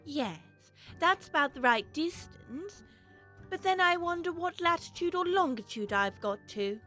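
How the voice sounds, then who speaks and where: Storybook little girl: high, bright voice, curious, chatty, thinking aloud, music underneath. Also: animated, measured pace, full character voice. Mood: wondering. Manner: musing aloud to herself. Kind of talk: full-cast audiobook acting.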